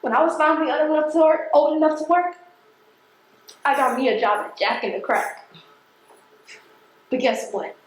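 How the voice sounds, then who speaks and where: A woman's voice: high-pitched, normal speed, long pauses, lightly acted. An actress on stage playing a defiant, embittered working-class woman postal carrier from the projects, speaking in an emphatic, confrontational, colloquial way. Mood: hurt, bitter. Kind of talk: stage play acting. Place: theatre stage. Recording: very echoey stage recording.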